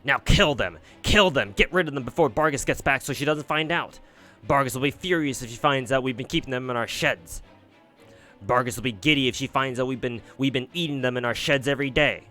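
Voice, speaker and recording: A man's voice: medium pitch, fairly even intonation, a little fast, bright, forceful tone, soft background music, natural voice. A man voicing a mob of gleeful, childlike, bloodthirsty fairies, in a giddy, sing-song way.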